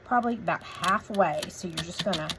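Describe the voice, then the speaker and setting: A woman hosting a craft tutorial, giving relaxed, chatty step-by-step instructions in a voice a little croaky from a cold. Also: on the low side, fairly quick, noisy background.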